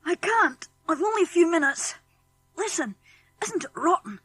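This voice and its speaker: A woman's voice: extremely high pitch, lively intonation, moderate pace, fairly bright tone, dry recording, soft background music. A woman voicing an eager, plucky English schoolboy in a children's audiobook, boyish and earnest, with a British accent. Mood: upset, indignant. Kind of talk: children's audiobook character acting.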